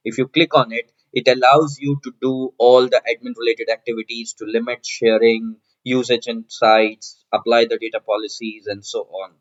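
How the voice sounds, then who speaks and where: A man presents a screen-share tutorial in a middle-pitched voice. He explains each step in turn and tends to repeat his phrases. The sound is a little dull and lo-fi. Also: level tone, moderate pace, few pauses. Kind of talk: screen-share software walkthrough narration.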